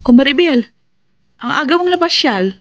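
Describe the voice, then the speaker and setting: Bossy, superstitious elder sister, high-voiced. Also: female voice, expressive, normal speed, no room sound, highs cut off. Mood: casual. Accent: Filipino. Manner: insistent, lecturing, dismissive. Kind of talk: Filipino radio drama acting.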